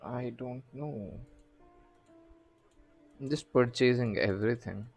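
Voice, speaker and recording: A man doing casual live game commentary, halting and muttering to himself as he streams. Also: mid-range voice, creaky, a little mellow, breathy edge, faint music behind.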